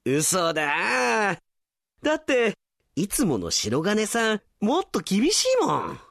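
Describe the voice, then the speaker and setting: A man's voice: high-pitched, wildly swooping pitch, slow, gravelly, a bit bright, dry recording, clean studio sound, heavily acted. A man playing a casual young male office worker, slangy but earnest, in a comic radio drama. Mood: puzzled. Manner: slangy, earnest junior speech.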